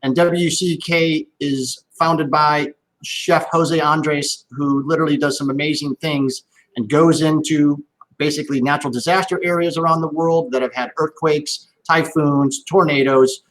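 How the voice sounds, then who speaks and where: An upbeat male host keeps a streamed wine tasting moving with chatty jokes and housekeeping notes. His voice sits slightly high, and he talks over a video call setup. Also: fairly even intonation, a little slow, a bit bright, low-quality recording. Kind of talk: live-streamed interview.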